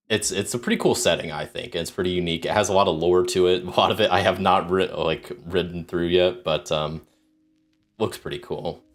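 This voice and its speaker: A man with a very deep, low voice, croaky from a sore throat that is starting to take his voice. He talks in loose, rambling livestream chat, full of ums and restarts as he greets viewers and explains rules. Soft music plays faintly behind him. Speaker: solo RPG streamer.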